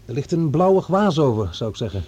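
An actor playing an eager research doctor working on Mars in a Dutch science fiction radio drama. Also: male voice, on the high side, sing-song, brisk, darkish tone, husky, no echo, muffled recording. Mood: pleased, businesslike.

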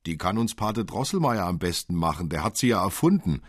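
A man voicing a cheerful, eager young boy in a storybook, lively and emphatic. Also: low-pitched, fairly quick, breathy edge, dry recording, clean studio sound, full character voice.

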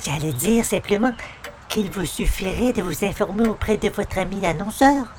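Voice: medium pitch, expressive, moderate pace, darkish tone, a little hiss or hum, lightly acted. A performer playing an overly courteous, naive visitor in a radio comedy, speaking in a formal, flowery, pompous way. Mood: courteous, puzzled. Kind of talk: 1940s-style radio comedy acting.

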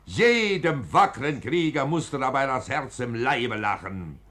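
Hearty male storyteller, vintage 1940s radio play; exclamatory, mocking, very animated. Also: mid-range voice, measured pace. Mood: boisterous, mocking. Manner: theatrical, exclamatory. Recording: 1940s studio radio recording with music.

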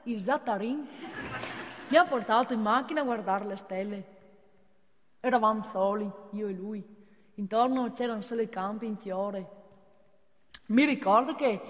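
An actress playing an earnest, naive provincial policewoman in a theatrical comic monologue, prim and confiding, with a northern Italian accent. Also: on the high side. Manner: prim, confiding, emphatic when alarmed. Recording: reverberant theatre hall.

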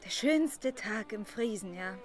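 A woman's voice: mid-range voice, animated, measured pace, faint music behind, lightly acted. An actress playing a young village woman, a dutiful yet headstrong daughter, in a German radio drama. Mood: wistful, gentle.

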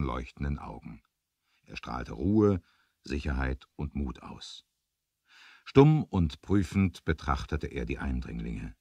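Very deep, animated male storyteller. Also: vocal fry, husky, dry recording. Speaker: narrator. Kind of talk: dramatic scripted storytelling for a 1970s adventure radio play.

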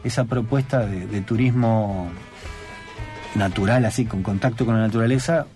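A man's voice: lowish voice, normal speed, darkish tone, soft background music. Rioplatense man explaining on radio. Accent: Rioplatense Spanish. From Uruguay. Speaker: radio guest. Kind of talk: radio interview.

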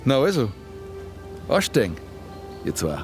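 Gruff Austrian man, bossy, slow delivery, lively pitch swings, radio play. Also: soft background music. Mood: proud, bossy. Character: gruff, bossy man giving orders to accomplices. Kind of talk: radio drama dialogue.

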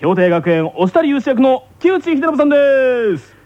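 A man's voice: extremely high pitch, very animated, moderate pace, no room sound. A man hosting a radio show with playful, teasing chat and scripted intros, in Japanese with a slight Kansai touch. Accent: Japanese with some Kansai influence. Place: radio studio. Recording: dull radio broadcast with faint music. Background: faint music.

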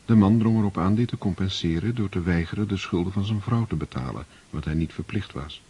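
A man narrating a radio drama in a measured, storytelling way. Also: low voice, warm tone, lo-fi sound, slight background noise. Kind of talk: scripted narration.

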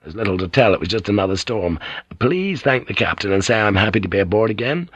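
A rugged ship's pilot acted by a male audiobook narrator, blunt and commanding. He speaks in terse, direct phrases with a husky grain, recorded dry. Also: lowish voice, expressive, fast talker, no room sound, lo-fi sound. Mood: low-key, firm.

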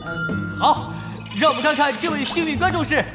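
A flustered young event mc, really bouncy delivery, big reverb, polite and coaxing, radio drama. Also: male voice, extremely high pitch, normal speed. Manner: polite, coaxing, animated, hesitant. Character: flustered young male event host at a gaming match. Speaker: event host. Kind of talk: live stage announcing in a radio drama. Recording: echoey arena reverb over loud music.

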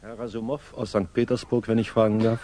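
An actor playing a courteous, reserved middle-aged Englishman in a radio drama, formal and measured, with a husky voice. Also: on the low side, little pitch movement, dark tone, a little hiss or hum, lightly acted. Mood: polite, careful. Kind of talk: radio drama acting with reflective narration.

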